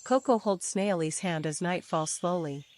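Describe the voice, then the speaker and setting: Female bedtime-story narrator for small children: slightly low register, deliberate scripted delivery, light forest ambience behind. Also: darkish tone, a little hiss or hum. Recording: faint birdsong and nature ambience. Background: birdsong, crickets, forest ambience.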